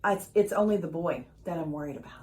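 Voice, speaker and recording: A woman's voice: sits low, quick pace, natural voice. A woman playing a devoted, anxious wife and mother on an alien world, earnest and melodramatic in retro radio-theater style. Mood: worried, devoted.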